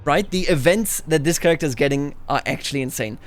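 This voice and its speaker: A man's voice: on the high side, fast talker. A man hosting a gaming video, musing aloud expressively as he mixes casual commentary with reading story text.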